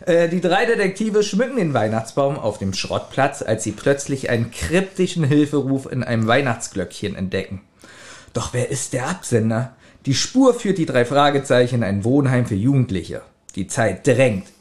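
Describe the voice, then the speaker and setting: A man's voice: medium pitch, big pitch swings, moderate pace, darkish tone. A man co-hosting a podcast, talking casually among friends and sometimes reading aloud. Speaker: podcast co-host.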